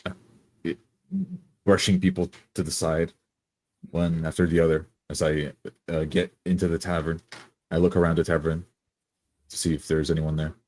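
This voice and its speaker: A man's voice: sits low, level tone, normal speed, pauses often, a little mellow, no echo. A man playing a tabletop game, improvising his character's actions in the first person.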